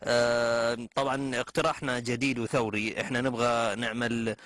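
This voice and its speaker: A man voicing a hesitant young man chairing a writers' meeting, full of drawn-out pauses. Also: medium pitch, level tone, a bit bright, dry recording, close to an everyday voice.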